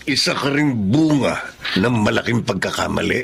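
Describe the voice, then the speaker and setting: An actor with a Filipino accent playing a frank, deliberate middle-aged father in a radio drama. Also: on the low side, expressive, gravelly, very noisy. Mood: grave, admonishing.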